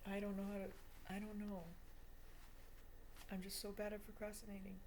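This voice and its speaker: A woman's voice: mid-range voice, completely flat delivery, normal speed, darkish tone, a little hiss or hum, poor audio. A woman of twenty giving a confessional video diary monologue in an emphatic, repetitive, self-deprecating way. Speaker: vlogger.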